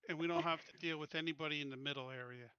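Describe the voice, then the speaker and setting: A man with a very bright, smooth voice plays a tabletop game. He thinks through his plans aloud in a decisive, casual way. The sound is dry and close. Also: on the high side, steady tone, racing, dry recording, highs cut off. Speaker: player.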